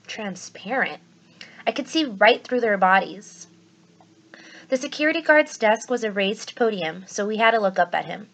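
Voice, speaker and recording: A woman teacher speaking to her students in an expressive read-aloud style. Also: a little high, rough recording.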